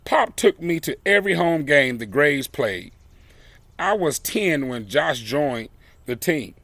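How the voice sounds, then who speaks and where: A man reading a children's story aloud expressively, with deliberate, emphatic phrasing and dramatic pauses. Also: fairly high pitch, big pitch swings, fairly bright tone. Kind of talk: expressive read-aloud storytelling with brief asides.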